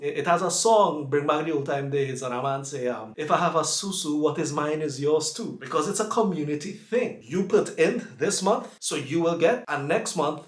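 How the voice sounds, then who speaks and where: A man's voice: a little high, echoey room. A man with a Trinidadian accent, chatting casually in a sit-down vlog from his room and explaining slang with examples. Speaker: vlogger.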